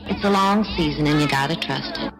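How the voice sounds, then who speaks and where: A woman with a lowish voice speaks a reflective monologue, measured and confiding. Music plays clearly behind her. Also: rough recording.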